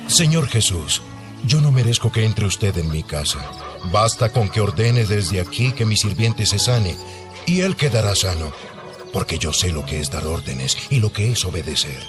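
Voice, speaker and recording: Low-voiced humble Roman captain played by a man. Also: expressive, normal speed, over music, natural voice. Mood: humble, earnest. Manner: deferential, earnest. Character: humble, earnest Roman army captain pleading respectfully. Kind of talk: dramatized audio Bible acting.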